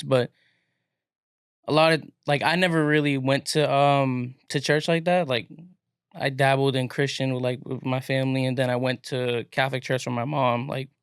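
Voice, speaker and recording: A man with a smooth, resonant, mid-pitched voice, talking on a podcast. He rambles casually through his thoughts with plenty of fillers, and the sound is dry and close. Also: fairly bright tone, no room sound. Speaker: podcast guest. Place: podcast studio.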